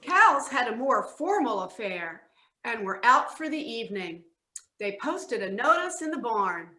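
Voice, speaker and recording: A woman's voice: fairly high pitch, big pitch swings, unhurried, sharp tone. A woman narrating a children's storybook aloud in a readers theatre. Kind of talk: storybook reading. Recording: echoey room.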